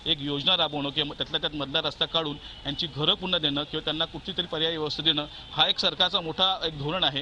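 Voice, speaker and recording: A man's voice: a little high, pushed and bright. A man giving an emphatic, repetitive news report on location as a field reporter. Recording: faint location noise.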